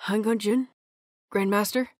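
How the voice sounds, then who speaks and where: A woman plays a dutiful young man in a storybook reading. He is courteous and composed, with measured speech. The recording is dry, without room sound. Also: mid-range voice, fairly even intonation, dry recording, natural voice. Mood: polite. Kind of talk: audio story character reading.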